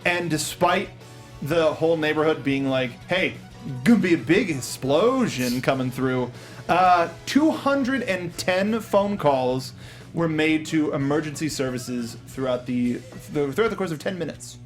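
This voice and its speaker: Higher-pitched man co-hosting a casual film discussion. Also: animated, a little slow, faint music behind. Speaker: talk show co-host. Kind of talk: casual film review discussion with production trivia.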